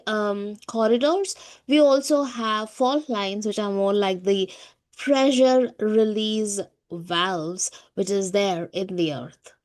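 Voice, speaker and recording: Woman explaining in a conversational way. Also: fairly high pitch. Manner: explanatory, often asking "right?" for agreement. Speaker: podcast co-host. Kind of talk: conversational spiritual talk.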